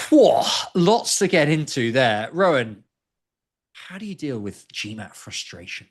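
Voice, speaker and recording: Male host, mid-range voice, quick no-nonsense critique in casual chat. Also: medium pitch, very animated. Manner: brisk, direct, itemized evaluation. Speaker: host, admissions consultant. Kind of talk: casual podcast chat, reading and critiquing posts.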